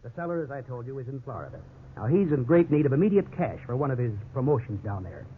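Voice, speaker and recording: An actor playing a pushy, falsely sincere real estate salesman in a 1950s radio drama, with smooth patter and feigned hesitation. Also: mid-range voice, very animated, fast, lightly acted. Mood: oily, persuasive. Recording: muffled, noisy 1950s radio recording.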